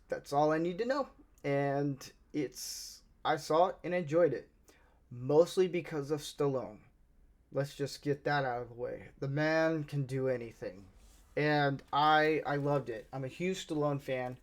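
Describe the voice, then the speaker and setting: Chatty Southern American man reviewing movies. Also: mid-range voice. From Southern United States. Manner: chatty, rambling, many restarts. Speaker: movie reviewer, channel host. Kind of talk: casual spoken movie review.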